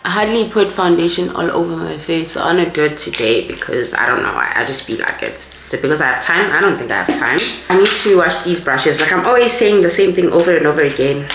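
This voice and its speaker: A woman's voice: fairly low pitch, fast talker, few pauses, roomy sound, background noise. A woman in her mid twenties with a South African accent, chatting casually and rambling while doing her makeup for a vlog. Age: 20s. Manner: rambling, animated, mixes in local words. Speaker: vlogger. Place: home room, doing makeup.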